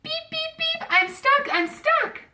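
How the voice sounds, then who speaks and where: A woman voicing a small, friendly, eager little truck with a squeaky, childlike voice in a storybook read-aloud. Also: very animated, slow pace, bright, forceful tone, full character voice. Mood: panicked. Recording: roomy echo, distant microphone.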